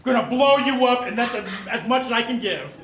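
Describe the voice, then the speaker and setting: A man's voice: extremely high pitch, lively intonation, brisk. A man improvising a detective who questions a suspect with teasing, chuckling hints on a live stage. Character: male detective interrogating a suspect. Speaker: improv performer. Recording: echoey stage, loud audience laughter and noise.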